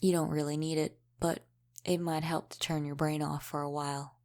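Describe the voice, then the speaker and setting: A woman playing a centuries-old, world-weary female vampire in an audio roleplay, gruffly protective, speaking in an unhurried, wry, folksy way with dropped g's. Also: low voice, little pitch movement, a little mellow. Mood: gentle, reassuring. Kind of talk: intimate audio roleplay acting.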